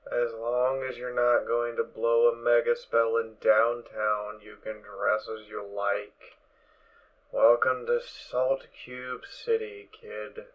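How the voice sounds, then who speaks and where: A man playing a weary, gruff pony guard corporal in an audio drama, curt and official with a mildly annoyed air. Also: mid-range voice, level tone, slow pace, phone-quality sound, natural voice. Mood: dry, warning.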